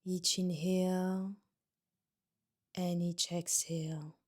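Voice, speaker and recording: Slow-paced woman guiding a meditation. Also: on the low side, little pitch movement, breathy edge. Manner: gentle, soothing, unhurried instruction with pauses. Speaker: yoga nidra guide. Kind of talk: guided meditation.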